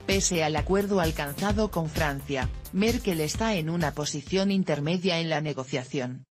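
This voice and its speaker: Low female newsreader, even read, voice-over with music bed throughout. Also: moderate pace. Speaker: news narrator. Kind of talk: read news narration.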